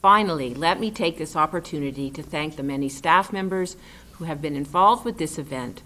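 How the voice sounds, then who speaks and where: A woman's voice: low voice, brisk, sharp tone. A woman moderator reading a formal, prepared introduction of the speakers at an event. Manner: formal, reads from a prepared text. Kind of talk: scripted introduction.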